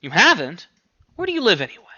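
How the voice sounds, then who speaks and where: Man voicing a whimsical, bright-voiced young man. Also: sits high, huge pitch swings, fast talker, muffled recording, pitched above the performer's own voice, exaggerated voice. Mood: teasing. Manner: playful mock-serious, exaggerated emphasis.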